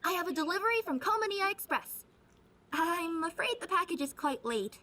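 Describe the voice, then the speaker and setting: Very high-voiced, gentle young courier. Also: female voice, lively intonation, brisk, heavily acted. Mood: polite, businesslike. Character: polite, gentle young female delivery courier. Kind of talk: video game voice acting.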